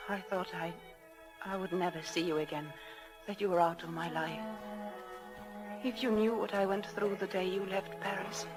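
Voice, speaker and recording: An actress playing an anguished young wife torn between two loves, pleading and hesitant, in a 1940s radio drama. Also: female voice, mid-range voice, lightly acted. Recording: old 1940s radio broadcast.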